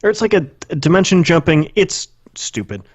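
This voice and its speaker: A man's voice: on the high side, sing-song, fairly quick, slightly rough, no echo. A man co-hosting a podcast with casual, profane banter, sarcastic and given to joking exaggeration. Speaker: podcast co-host.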